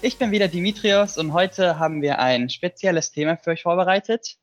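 A man's voice: high voice, brisk, faint music behind. A man hosting a casual student podcast, introducing guests and asking questions, on a slightly muffled remote recording. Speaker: podcast host.

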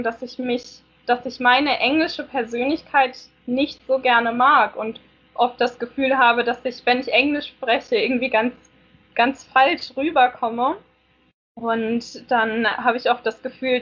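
A woman's voice: a little high, fairly even intonation, measured pace, fairly bright tone, slightly rough. A woman giving a thoughtful, hesitant contribution to a discussion, with frequent fillers. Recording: dull lo-fi recording.

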